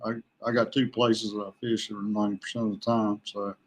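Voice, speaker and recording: Halting man chatting casually. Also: fairly low pitch, flat delivery. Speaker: guest, veteran kayak angler. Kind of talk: casual podcast chat.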